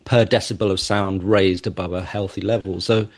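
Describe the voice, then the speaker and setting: A man's voice: sits low, steady tone, normal speed. A man, a musician and judge, answering radio interview questions in a thoughtful, hesitant way with many restarts.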